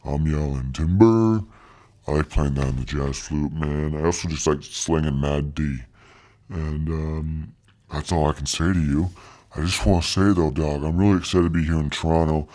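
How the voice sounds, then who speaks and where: Male actor as slangy, swaggering voice-over guy: extremely low, thick and dark, drawling and boastful, comic podcast guest. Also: level tone, normal speed. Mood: cool, boastful. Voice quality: thick, deep voice. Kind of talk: comic in-character interview.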